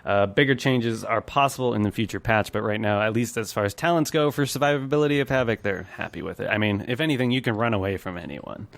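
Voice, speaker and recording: A man's voice: fairly low pitch, fast, creaky, few pauses, dry recording. Chatty man hosting, close dry sound. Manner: chatty, laughs easily while explaining. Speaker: podcast host. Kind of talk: casual gaming podcast commentary.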